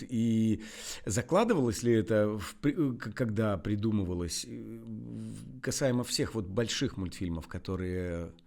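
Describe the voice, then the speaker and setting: A middle-aged man co-hosting a podcast, asking questions casually with halting, hesitant phrasing. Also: fairly low pitch, gravelly, darkish tone. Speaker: podcast co-host, interviewer. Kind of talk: casual conversation.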